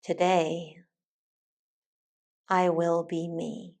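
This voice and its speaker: Woman, meditation teacher, slightly low pitch, very slow pace, soothing, deliberate pauses. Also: little pitch movement, creaky, a little mellow. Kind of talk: guided meditation, soft instructional narration.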